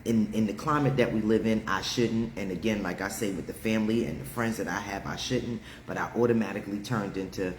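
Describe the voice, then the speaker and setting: A man with a deep, heavy voice chats to his viewers in a loose storytelling style, laughing often as he talks. His voice sits low, and it rings out in a roomy space with an echo. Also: steady tone, fairly quick, few pauses, a little hiss or hum. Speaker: live stream host. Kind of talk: casual storytelling chat.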